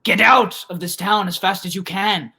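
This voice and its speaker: A performer voicing a grizzled older man who gives grave, ominous warnings in a hushed, gravelly voice. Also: medium pitch, fairly even intonation, normal speed, heavily acted.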